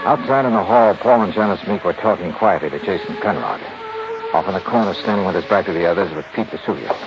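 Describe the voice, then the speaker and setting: Muffled old-time radio lead: confident American detective, clipped, widely varied intonation. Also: male voice, low voice, lively intonation, fast, loud music behind the voice. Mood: dry narration. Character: cool, shrewd male insurance investigator who narrates. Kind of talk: 1950s radio drama, first-person narration. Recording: muffled, faintly noisy 1950s radio recording.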